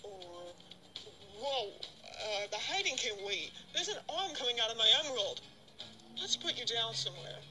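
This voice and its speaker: A woman's voice: fairly high pitch, sing-song, moderate pace, poor audio. A woman voicing a confident, sassy female character in a video game. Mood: surprised.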